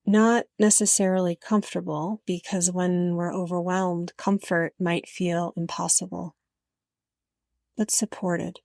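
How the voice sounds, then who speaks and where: A woman narrating a guided meditation in a gentle, unhurried way with frequent pauses. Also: lowish voice, flat delivery, gravelly, no room sound, studio quality.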